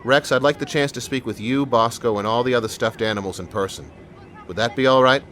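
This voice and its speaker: A man playing a calm, sincere and determined police sergeant in an audio drama. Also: mid-range voice, a little fast, a little hiss or hum, close to an everyday voice. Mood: calm, persuasive.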